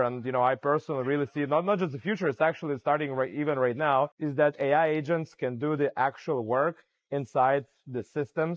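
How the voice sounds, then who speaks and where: A man's voice: a little high, no echo, highs cut off. A man answering interview questions at a conference, explaining fluently with many "you know" fillers. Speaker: AI startup founder, interview guest. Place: conference venue.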